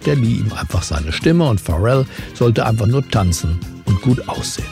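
A man hosting a morning briefing, narrating from a script in a formal, wry, measured way. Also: lowish voice, lively intonation, creaky, over music. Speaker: podcast host.

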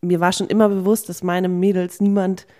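A woman's voice: lowish voice, level tone, brisk, dark tone. A young adult woman in casual podcast conversation, speaking colloquially with the odd English word mixed in. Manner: colloquial, sprinkles in English words. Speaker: podcast co-host. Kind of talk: casual chat.

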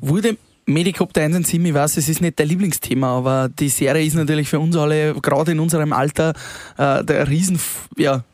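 Fairly high-voiced male co-host, dry sound. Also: steady tone, fairly quick, darkish tone, dry recording. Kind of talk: casual podcast chat.

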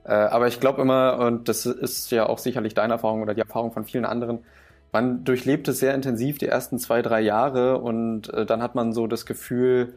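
A man in his thirties from Germany, a guest on a podcast who answers in a relaxed, rambling way with frequent fillers. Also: mid-range voice, fairly quick. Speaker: podcast guest, hotel owner. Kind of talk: casual interview chat.

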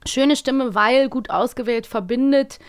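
A woman's voice: high-pitched, measured pace, soft background music. A woman co-hosting an audio-drama podcast, chatting casually and often ending her sentences with a questioning "ne?". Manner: chatty, lots of "ne?" and fillers. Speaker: podcast co-host.

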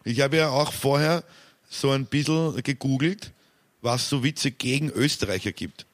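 A young Viennese man hosting a casual podcast, an animated teller of anecdotes and jokes. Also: mid-range voice, measured pace. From Austria. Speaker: podcast host.

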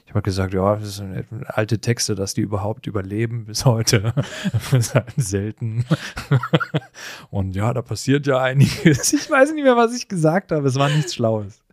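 A man's voice: mid-range voice, animated, a little slow, dry recording, studio quality. A man co-hosting a podcast, telling stories in a casual, reflective and explanatory way with colloquial asides. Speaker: podcast co-host. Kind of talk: casual conversational podcast storytelling.

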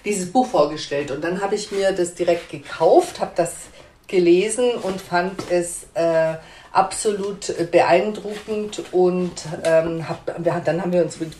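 A woman's voice: fairly low pitch, dark tone, echoey room, a little hiss or hum. A woman publisher answering interview questions in a hesitant, conversational way with frequent fillers and restarts.

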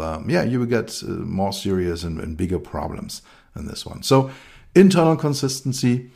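A man's voice: fairly low pitch, vocal fry, warm tone. A middle-aged man with a German accent, a consultant giving a thoughtful solo talk with frequent fillers and restarts. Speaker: consultant and keynote speaker.

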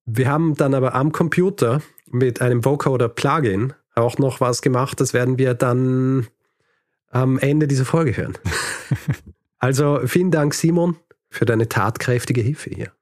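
A man's voice: mid-range voice, normal speed, a little mellow, dry recording. Man, casual podcast storyteller, dry recording. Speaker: history podcast host telling the episode's story.

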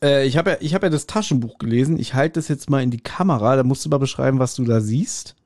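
A man's voice: medium pitch, quick pace, a little mellow, no echo. Slangy man, close dry sound. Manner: slangy, blunt. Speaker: podcast co-host. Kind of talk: casual chat reviewing an audio drama. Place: remote recording at a computer, on video call.

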